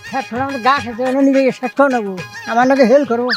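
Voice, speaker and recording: A man's voice: extremely high pitch, steady tone, racing, music in the background. A man playing a village grandfather who scolds his grandson in rustic, colloquial speech. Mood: scolding.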